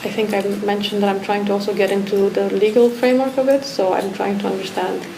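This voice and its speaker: A woman's voice: mid-range voice, fairly even intonation, fast, creaky. A woman from Romania, an artist, speaking reflectively with many restarts and fillers in a talk discussion. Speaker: artist guest. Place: art academy pavilion. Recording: echoey room with background noise.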